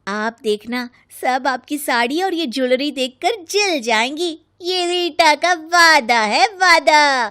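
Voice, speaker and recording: Woman acting bossy, high-pitched young fashionista wife. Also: lively intonation, takes it slowly, few pauses, bright, forceful tone, exaggerated voice. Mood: persuasive. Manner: emphatic, pushy. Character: bossy young fashion-obsessed daughter-in-law, insistent and vain.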